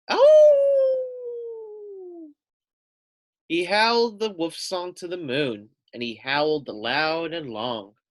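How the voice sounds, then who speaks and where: A man's voice: fairly high pitch, a bit bright, no room sound. A man reading a children's story aloud expressively, with simple explanatory asides for young listeners.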